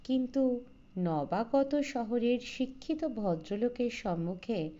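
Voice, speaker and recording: A woman reading a literary story as scripted narration, in a measured, expressive way. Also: a little high, highs cut off. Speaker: audiobook narrator.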